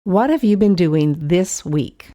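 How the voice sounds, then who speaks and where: A woman asking simply phrased, measured interview questions for English learners. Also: on the low side, lively intonation, dark tone.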